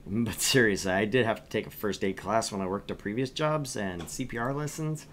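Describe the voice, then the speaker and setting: A man's voice: fairly low pitch, a little fast, a bit bright, a little hiss or hum. A man streaming games and chatting casually in a jokey way. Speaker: game streamer.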